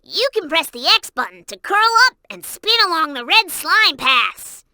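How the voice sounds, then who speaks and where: A woman voicing an excitable, cute young creature sidekick in a video game, childlike and wide-eyed, exclaiming and giving hints. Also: very high voice, measured pace, no room sound, heavily acted. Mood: eager instructing.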